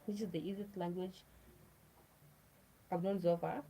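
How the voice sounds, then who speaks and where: A woman's voice: on the low side, steady tone, unhurried, mellow, a little hiss or hum, poor audio. A Kenyan woman whose first language is Luo, chatting casually to viewers on a livestream, repeating herself and speaking to them directly. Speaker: livestream host.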